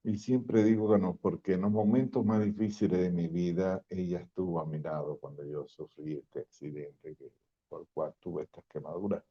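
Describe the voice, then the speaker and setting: A man's voice: sits low, fairly even intonation, moderate pace, slightly rough. An older man from Venezuela with a Venezuelan accent, a pastor sharing his testimony in a folksy storytelling way. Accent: Venezuelan Spanish. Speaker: pastor and guest speaker. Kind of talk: testimony talk. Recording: dull lo-fi sound.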